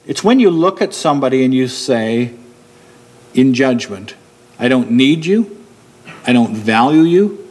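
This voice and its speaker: A middle-aged man delivers a sermon at a church pulpit, with his voice taken through a pulpit microphone. He explains his points steadily and slows down deliberately when he wants one to land. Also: medium pitch. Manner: steady, explanatory preaching, slowing down to stress key points. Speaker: preacher. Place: church hall with a wooden pulpit.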